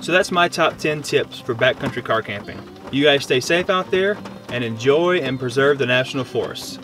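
A man's voice: mid-range voice, animated, moderate pace, a bit bright. A man in his thirties with an American accent, talking casually to camera as he gives camping advice outdoors. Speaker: camping vlogger. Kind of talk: casual instructional talk to camera. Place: outdoors at a forest campsite under a shelter. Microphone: on-camera shotgun mic at short distance.

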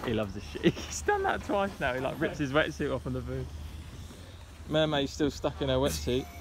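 A man's voice: mid-range voice, very animated, normal speed. A young man chatting and teasing friends on a marina and a boat, recorded outdoors on an action camera. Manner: joking, teasing, shouts on the water. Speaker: vlogger, sailor. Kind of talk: casual banter among friends. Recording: heavy wind noise outdoors.

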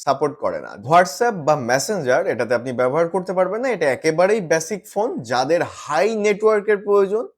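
A man's voice: high voice, expressive, quick pace, hardly any pauses. A man presenting a product review to viewers as a gadget reviewer, mixing in English words and phrases.

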